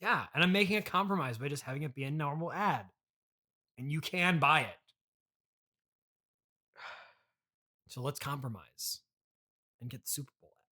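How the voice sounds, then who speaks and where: A man's voice: fairly high pitch, animated, normal speed, long pauses, sharp tone. A man playing the spoiled, entitled streamer son of a trillionaire in an improvised comedy podcast, whiny, blunt and demanding. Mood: whiny, insistent.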